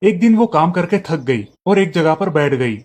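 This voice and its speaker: A man's voice: on the high side, expressive, normal speed, over music. A man reading scripted narration for a moral family story.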